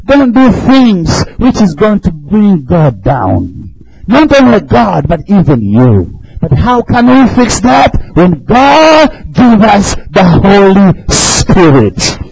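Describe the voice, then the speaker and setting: East african guy preaching hard in a church, high voice swooping up and down, shouts a lot. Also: huge pitch swings, slow pace, creaky, slight background noise, low-quality recording. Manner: fervent, shouting, chuckling between lines. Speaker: visiting preacher. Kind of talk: sermon.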